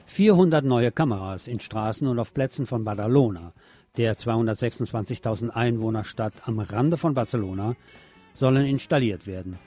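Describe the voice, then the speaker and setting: A man with a mellow, relaxed voice reads scripted local news as a radio presenter. His pitch sits in a middle range, and faint music plays behind him.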